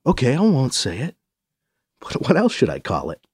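Man acting an earnest, easily flustered leader, lively pitch. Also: moderate pace, slightly rough, exaggerated voice. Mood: tender. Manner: protesting, insistent.

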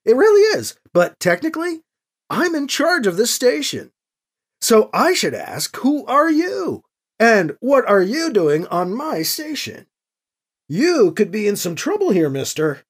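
A man's voice: very high voice, sing-song, normal speed, pitched above the performer's own voice, full character voice. A man voicing a sarcastic, bluffing male priest in an audiobook, with a cocky, quipping delivery. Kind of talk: audiobook character acting.

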